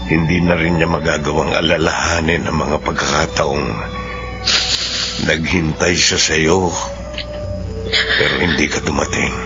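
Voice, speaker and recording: Deep male voice, compassionate grave uncle, Filipino radio drama, measured sorrowful delivery, music underneath, muffled audio. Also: fairly even intonation. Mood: sorrowful, reflective. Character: solemn, compassionate older uncle, a family confidant.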